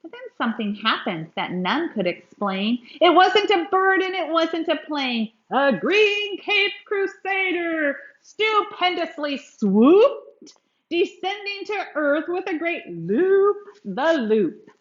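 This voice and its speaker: A woman reading a children's story aloud, expressively, with dramatic emphasis and drawn-out words. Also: extremely high pitch, very animated, echoey room, dull audio. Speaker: children's book reader.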